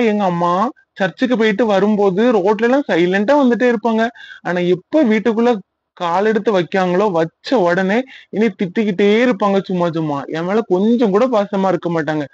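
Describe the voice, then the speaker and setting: High-pitched, plainspoken cartoon young man voiced by a man. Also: a little mellow, no room sound, muffled recording, natural voice. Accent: southern Tamil Nadu. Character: plainspoken young son of a churchgoing mother.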